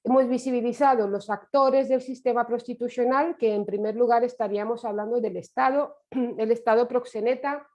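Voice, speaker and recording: A woman whose first language is Romanian gives an online lecture in a reflective manner, pausing and restarting often. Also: on the high side, steady tone, darkish tone, lo-fi sound. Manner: reflective, with many hesitations and restarts. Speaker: course speaker. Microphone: video call.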